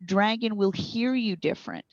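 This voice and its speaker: A woman being interviewed as a software trainer, explaining things in a conversational, instructive way. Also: mid-range voice, normal speed. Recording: boxy roomy echo, slightly dull sound.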